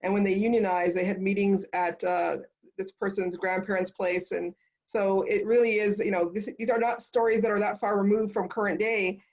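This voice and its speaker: Through slightly dull, lo-fi audio, a woman moderates a panel in a mid-pitched voice. She is chatty and affirming as she hands questions over to the guests. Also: steady tone, normal speed, darkish tone. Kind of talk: conversational moderating, relaying audience questions.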